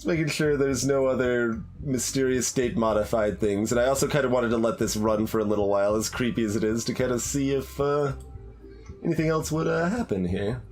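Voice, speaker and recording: A man giving casual, halting playthrough commentary as a game streamer, thinking aloud in an analytical, musing way. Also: mid-range voice, a little mellow.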